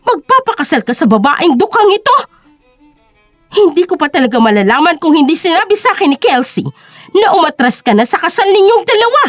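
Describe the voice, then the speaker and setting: A woman's voice: very high-pitched, big pitch swings, a little fast, fairly bright tone, no room sound, faint music behind, voice pushed up, heavily acted. A woman playing a wealthy, domineering mother who berates others with outraged contempt in an audio drama. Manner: scandalized, berating.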